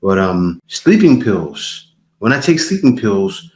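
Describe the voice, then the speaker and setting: A man hosting a casual reaction video, commenting on clips in an animated, incredulous way and repeating phrases for emphasis. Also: fairly low pitch, takes it slowly, echoey room, poor audio.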